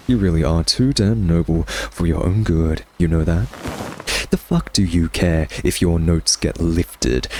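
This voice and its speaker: Casting: male actor as smug vampire nemesis for ASMR roleplay, very deep, unhurried, drawling, sardonic. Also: a little mellow, slight background noise. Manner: sardonic, taunting, drawling, theatrical. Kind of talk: ASMR roleplay, intimate scripted monologue.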